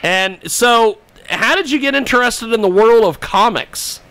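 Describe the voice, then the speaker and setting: A man hosting a casual radio talk show, bantering in a jokey, halting way. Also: very high voice, lively intonation, a bit bright, a little hiss or hum.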